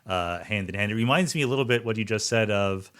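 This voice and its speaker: A man with a fairly low voice hosts a conversational interview. He muses casually and reflectively, checking in with the listener as he goes. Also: fast, fairly bright tone, smooth. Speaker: podcast host.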